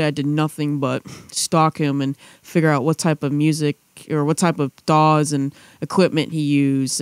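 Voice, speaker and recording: A man's voice: on the high side, clear, resonant tone, no echo. A young man chatting casually as a podcast co-host, rambling with restarts and fillers. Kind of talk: casual chat.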